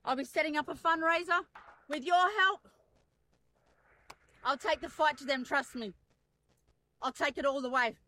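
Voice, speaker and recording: Woman, impassioned statement, high bright voice, faint noise behind. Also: moderate pace, pauses often, low-quality recording. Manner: urgent, indignant, rallying. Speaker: activist.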